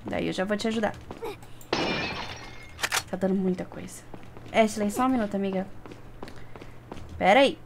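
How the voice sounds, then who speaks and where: A woman's voice: mid-range voice, normal speed, long pauses, noisy background. A young woman with a Brazilian accent giving casual, playful, chatty commentary while playing a video game. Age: young adult. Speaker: gaming streamer. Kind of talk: casual let's play commentary. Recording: game sound clearly behind voice.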